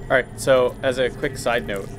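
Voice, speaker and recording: A man's voice: mid-range voice, fairly even intonation, normal speed, music in the background. A man playing a tabletop game, chatting casually and explaining his character choices in a rambling way with restarts. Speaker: player.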